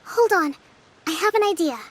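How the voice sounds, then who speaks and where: Very high, composed young general. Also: female voice, expressive, background noise. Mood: thoughtful. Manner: measured, reasoning aloud. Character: gentle, thoughtful young female general, composed and analytical. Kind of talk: video game voice acting. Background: faint music.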